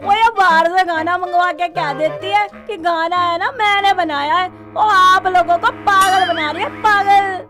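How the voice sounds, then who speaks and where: A woman's voice: extremely high pitch, fairly even intonation, unhurried, pauses often. A woman playing a sassy, scheming young daughter-in-law in a cartoon story, drawling and dramatic. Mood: indignant, mocking. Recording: music behind the voice.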